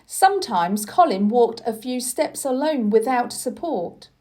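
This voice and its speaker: A woman narrating a children's storybook for learners in a deliberate, expressive storytelling manner. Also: fairly high pitch. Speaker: audiobook reader.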